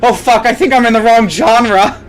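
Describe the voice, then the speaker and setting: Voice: mid-range voice, steady tone, normal speed, pitched above the performer's own voice, natural voice. Reader doing a sassy jumpy woman, indignant and exclaiming, story reading w music under. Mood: startled. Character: sassy, easily startled woman trainer refusing to admit fright.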